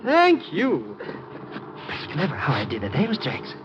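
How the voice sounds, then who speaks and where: An actor playing a fawning, shady, wordy hustler with an oily manner in a 1950s radio drama. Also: male voice, a little high, huge pitch swings, moderate pace. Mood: ingratiating. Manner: oily, wordy, redundant malapropisms. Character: fawning, shady, garrulous little hustler posing as financier. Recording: noisy muffled 1950s radio recording.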